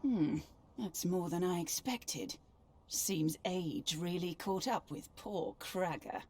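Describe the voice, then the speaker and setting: An actress playing a mocking female warlord villain in a video game, with a husky voice and a sardonic drawl. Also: on the low side, slow pace, a little mellow. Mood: wry. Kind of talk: video game cutscene acting.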